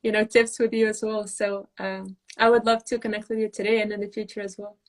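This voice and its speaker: A young woman from Moldova, a pageant titleholder, chatting earnestly on a live video call with soft laughs and fillers. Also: mid-range voice, little pitch movement, brisk. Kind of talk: casual live video chat.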